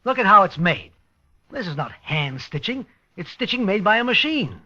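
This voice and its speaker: Agitated tailor guy, sharp and insistent, offended, muffled old 1950s radio recording. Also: sits high, very animated, normal speed, full character voice. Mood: indignant. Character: indignant, excitable male tailor proud of his handiwork. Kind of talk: 1950s radio drama acting.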